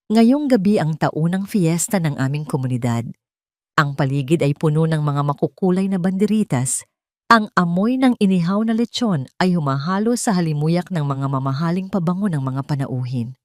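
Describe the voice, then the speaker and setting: A woman narrating a scripted first-person drama story in a measured, melodramatic manner. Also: lowish voice, darkish tone, dry recording, clean studio sound.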